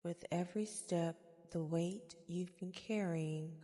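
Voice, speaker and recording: A woman guiding a meditation in a soft-spoken ASMR style, with soothing, gentle, deliberate phrasing. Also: fairly low pitch, steady tone. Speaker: guided meditation host. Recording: long reverberant echo.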